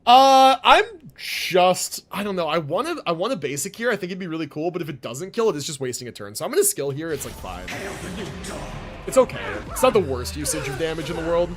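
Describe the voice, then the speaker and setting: Male game streamer, higher-pitched and very expressive, slangy and sweary, thinking aloud over music. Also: fairly quick. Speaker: game livestreamer. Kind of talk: casual live gameplay commentary.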